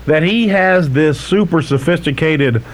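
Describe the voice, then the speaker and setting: A man's voice: fairly high pitch, a little slow. A man co-hosting a radio show, bantering and teasing callers with dry wisecracks. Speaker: radio co-host.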